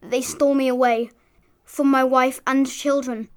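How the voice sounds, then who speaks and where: Little storybook monkey guy, really high voice, sad n pleading, polite but scared. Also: level tone, a little slow, clean tone. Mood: wistful, sad. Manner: plaintive, courteous, imploring. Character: pleading, frightened small male talking monkey, gentle and sad. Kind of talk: children's storybook character voice.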